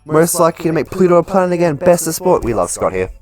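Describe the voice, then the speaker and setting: A man's voice: a little high, big pitch swings, fast, a little mellow, soft background music. A man doing casual, chatty let's play commentary in a playful way, reading game text aloud as he goes. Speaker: gaming channel host.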